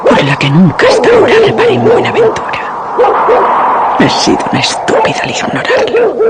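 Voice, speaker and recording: An actress playing a lustful, scheming married village woman in a radio drama, musing to herself and drifting into breathy whispers. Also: extremely low pitch, exaggerated voice. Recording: very noisy radio drama recording.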